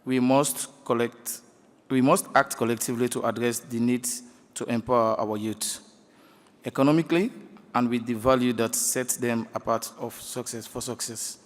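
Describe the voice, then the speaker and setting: A man delivers a formal address. His delivery is deliberate and oratorical, with a mid-pitched voice ringing through a long hall reverb. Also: fairly even intonation. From Sierra Leone. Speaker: government minister giving a speech. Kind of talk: formal ceremonial public speech. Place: large indoor conference hall.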